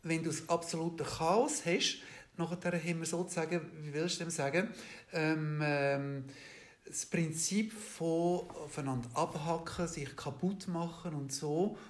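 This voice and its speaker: A man with a Swiss German accent, a guest in an interview, explaining at length in a halting way, with many fillers and restarts, checking in with his listeners as he goes. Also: fairly high pitch, fairly even intonation. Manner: ends points with a questioning "oder?".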